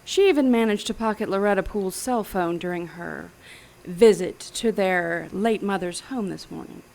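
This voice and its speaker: Casting: actress as power-hungry woman scientist villain for audio thriller; heavy vocal fry, smug menace, theatrical precision. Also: medium pitch, normal speed, a little mellow, close to an everyday voice, poor audio. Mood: smug, boastful. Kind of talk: audio drama acting, detective thriller.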